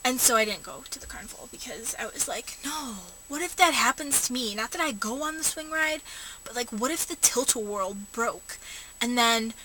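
A young woman rambling and joking about herself in a casual vlog, recorded from a parked car on a camera held low at arm's length. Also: animated, moderate pace, bright, forceful tone. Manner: chatty, self-mocking, many fillers. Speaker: vlogger. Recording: noisy home camera recording.

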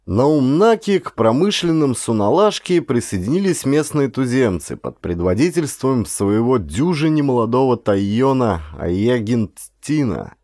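A man narrating a historical adventure audiobook as a scripted, expressive dramatic storytelling. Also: mid-range voice, unhurried, few pauses, dry recording, studio quality. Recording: clear ambient sound effects behind voice. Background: ambience sound effects.

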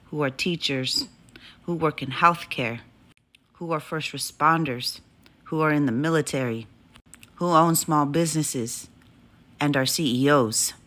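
A woman's voice: deep voice, sharp tone. A woman delivering an impassioned, profane monologue to camera, emphatic and scolding.

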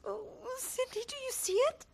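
A woman's voice: very high voice, moderate pace, creaky, a little mellow. An actress playing a restless, reckless young woman telling her life story in a radio drama. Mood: terrified. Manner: earnest, confessional storytelling. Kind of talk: dramatised first-person radio testimony.

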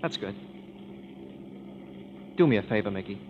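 An actor playing a kind, generous gentleman musician, easygoing and gentlemanly, in 1930s radio drama style. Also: fairly low pitch, lively intonation, moderate pace, a little hiss or hum, lightly acted. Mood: kindly, reassuring. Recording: muffled 1930s radio broadcast.

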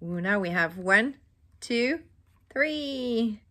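A middle-aged woman reading a picture book aloud to young children in an exaggerated, interactive way, with questions and pauses. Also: on the high side, lively intonation, sharp tone. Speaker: children's storybook reader. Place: small indoor room at home.

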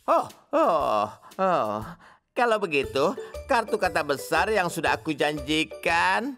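A woman's voice: sits low, sing-song, takes it slowly, fairly bright tone, soft background music, full character voice. Woman voicing a fussy old sheep butler. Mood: eager. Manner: formal, eager to please, dramatic exclamations. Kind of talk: cartoon dub acting.

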